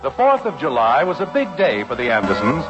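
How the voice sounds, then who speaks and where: A man's voice: mid-range voice, wildly swooping pitch, fairly quick. A man announcing and narrating a scripted 1950s radio comedy. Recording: dull old radio recording with music.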